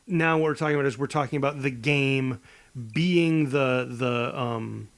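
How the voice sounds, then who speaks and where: A man co-hosting a podcast in casual comedic banter, often interrupting himself as he explains. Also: medium pitch. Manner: halting, self-interrupting explanations. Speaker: podcast co-host.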